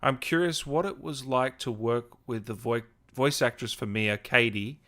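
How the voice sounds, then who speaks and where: A man's voice: mid-range voice, normal speed, bright, forceful tone. A man hosting a casual interview, asking questions and reacting to his guest. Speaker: interview host.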